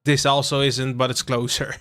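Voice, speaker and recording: A young man from the Netherlands hosting a relaxed game livestream, rambling with lots of fillers and asides to his chat. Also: mid-range voice, moderate pace. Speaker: livestream host. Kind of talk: casual live-stream chat while playing a game.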